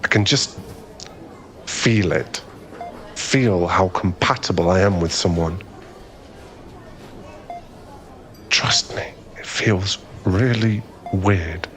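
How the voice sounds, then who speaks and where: Low-voiced, bashful, flustered young man, acted by a man. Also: slow pace, fairly bright tone, faint music behind. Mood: earnest, smitten. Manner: hesitant, stammering, earnest, bashful. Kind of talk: ASMR boyfriend roleplay audio drama.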